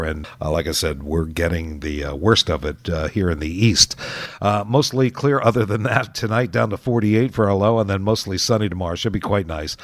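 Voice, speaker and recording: An American man hosting an oldies radio show, chatting casually in a folksy, halting way between songs and local announcements. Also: deep voice, dry recording. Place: radio studio. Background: music under the voice.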